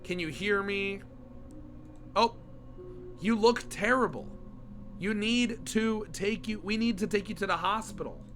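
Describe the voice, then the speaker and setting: A man with a hoarse voice from a cold, reading aloud the lines of a studious, caring young female pony in a comic. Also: high-pitched, a little slow, long pauses, a bit bright, faint music behind. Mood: worried.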